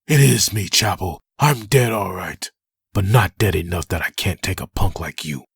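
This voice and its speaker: A deep, very husky undead soldier, acted by a man for a comic dub. He is grim and forceful, taunting his enemy with bitter menace. The sound is dry and close. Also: moderate pace, no echo, full character voice. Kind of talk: comic dub dramatic acting.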